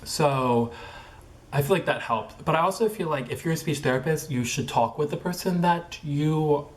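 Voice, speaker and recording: A young man who stutters, talking casually in a personal vlog, with repeated sounds, blocks and many fillers like "like" and "um". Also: a little high. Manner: filler-heavy, halting phrasing with many likes and ums. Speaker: vlogger.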